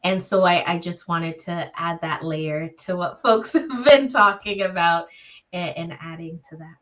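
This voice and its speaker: A woman speaking as a panelist in a reflective discussion, with a thoughtful, articulate and deliberate manner. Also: fairly low pitch, a bit bright.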